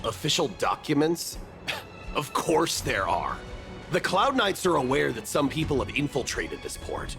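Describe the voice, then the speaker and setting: Gravelly, shifty villain in disguise. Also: male voice, fairly high pitch, big pitch swings, measured pace, over music, full character voice. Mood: brusque, defensive. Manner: brusque, challenging. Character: hostile male monster disguised as a guard, shifty. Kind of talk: video game voice acting.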